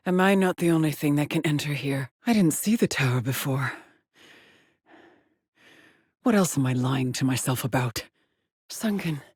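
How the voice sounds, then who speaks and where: An actress playing a haunted, driven female astronaut in a video game, brooding and introspective in measured solitary log monologues. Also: low-pitched, slightly rough, no room sound, studio quality. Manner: slow, measured, brooding delivery with pauses.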